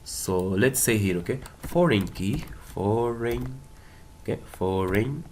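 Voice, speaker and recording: A man's voice: lowish voice, slow, a little mellow. A man with a Portuguese accent, a native Portuguese speaker, giving a casual step-by-step coding tutorial, often repeating words and checking in with short tags. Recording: clear background noise, keyboard typing and clicks. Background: computer keyboard typing and clicks.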